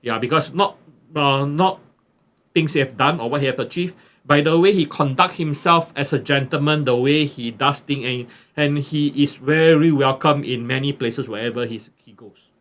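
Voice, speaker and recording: A man hosting a podcast, introducing his guest haltingly with many restarts. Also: mid-range voice, fairly bright tone. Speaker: podcast host. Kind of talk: casual interview introduction.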